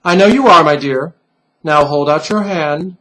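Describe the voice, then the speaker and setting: A man's voice: on the high side, a little slow, pushed and bright, rough recording. Man voicing a sing-song, menacing teacher doll. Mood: strict, menacing. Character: menacing female teacher doll, strict and falsely sweet.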